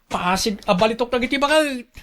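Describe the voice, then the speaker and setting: Guy acting whiny excitable young son in a radio drama, high sharp voice, always arguing. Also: brisk. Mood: excited, impatient. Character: stubborn, excitable grown son dreaming of riches.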